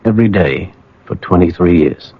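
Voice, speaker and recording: An actor playing a troubled, wistful American man in a radio drama, speaking with halting, earnest emotion. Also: deep voice, creaky, breathy edge, exaggerated voice. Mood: anguished, insistent. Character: troubled, wistful man insisting he relived his past. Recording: dull old radio broadcast, music behind.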